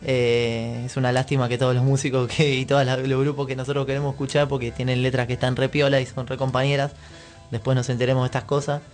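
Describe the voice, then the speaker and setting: An Argentine man talks casually as a radio host. His voice is mid-pitched, with faint music in the background. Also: level tone, fast talker.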